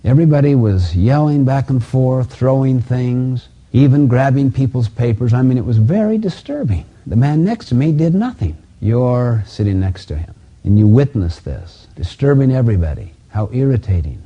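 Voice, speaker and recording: A man giving a motivational teaching talk, speaking emphatically and deliberately and repeating key phrases as a self-help speaker. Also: mid-range voice, very animated, warm tone. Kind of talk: motivational teaching lecture with personal anecdotes. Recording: dull, lo-fi audio.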